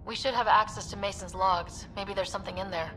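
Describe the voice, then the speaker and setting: A woman playing a steady, caring young team leader in a video game, speaking earnestly and firmly. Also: mid-range voice, brisk, soft background music, natural voice, poor audio. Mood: concerned, questioning.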